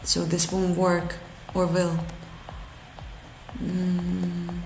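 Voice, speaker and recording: A woman from Russia with a Russian accent, a game engine developer who thinks aloud on a live coding stream and answers chat in halting, self-correcting English. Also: fairly low pitch, monotone, creaky, a little mellow. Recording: roomy with music playing behind.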